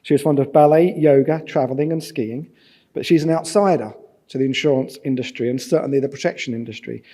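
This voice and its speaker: A man's voice: mid-range voice, fairly quick, dark tone. A man chairing a conference session, introducing the next speaker with light, jokey asides.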